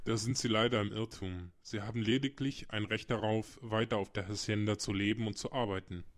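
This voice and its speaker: Casting: actor as measured, courteous notary, lowish male voice, official and deliberate, for audio drama. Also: fairly even intonation, natural voice. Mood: regretful, firm. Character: formal, courteous, measured notary reading a will. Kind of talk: German audio drama acting.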